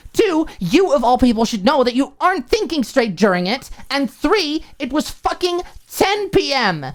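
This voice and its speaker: A woman's voice: high-pitched, voice pushed up. A woman voicing a furious, hurt young roommate in an audiobook, firing off sarcastic, emphatic rhetorical questions. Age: young adult. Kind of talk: audiobook character acting.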